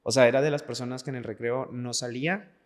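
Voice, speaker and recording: A man's voice: mid-range voice, steady tone, fast talker. A Mexican man of about thirty speaking earnestly and personally in a podcast conversation, repeating words for emphasis. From Veracruz, Mexico. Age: late 20s to 30s. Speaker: podcast guest, dancer. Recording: echoey hall-like reverb.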